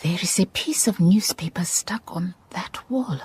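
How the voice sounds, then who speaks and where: An actress playing a compassionate, thoughtful woman detective in a radio drama, with a Southern African accent and gentle, measured delivery. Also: fairly low pitch, breathy edge, in a whisper. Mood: curious, probing. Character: thoughtful, compassionate Motswana woman detective, telling her case. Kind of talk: radio drama dialogue and reflective narration.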